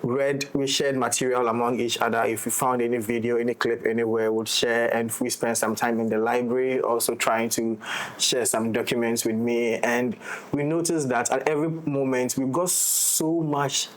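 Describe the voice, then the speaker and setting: Ghanaian man talking in an even tone. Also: mid-range voice, normal speed. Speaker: audio drama director and writer in discussion. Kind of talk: casual roundtable discussion.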